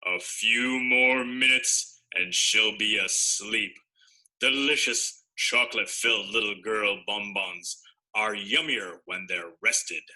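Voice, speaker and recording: A man voicing a loud, gloating, hungry dinosaur in a storybook read-aloud, booming and exclamatory. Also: slow, full character voice, poor audio.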